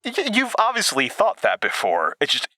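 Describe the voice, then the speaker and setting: Audio drama: an agitated, self-doubting man, stammering, forceful bright voice, dry close sound. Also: high voice, wildly swooping pitch, dry recording, exaggerated voice. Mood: anxious, questioning. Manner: stammering, halting, anxiously questioning.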